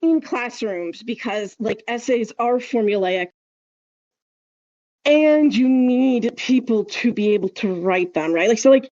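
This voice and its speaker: A woman's voice: on the high side, animated, measured pace, a little mellow, no room sound, muffled recording. A woman chatting casually as a podcast co-host, wry, opinionated and emphatic in how she makes her points. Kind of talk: casual speculative podcast chat.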